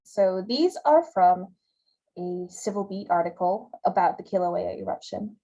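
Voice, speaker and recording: A woman's voice: on the low side, expressive, unhurried, gravelly, warm tone, dull audio. A woman from Hawaii giving a conversational talk over slides, with fillers and repeated words. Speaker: guest speaker giving a talk.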